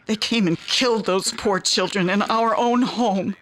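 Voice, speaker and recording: A woman's voice: medium pitch, a little slow, a bit bright, a little hiss or hum, close to an everyday voice, rough recording. An actress playing a haunted, paranoid and protective mother in an audio drama. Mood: fearful, resolute.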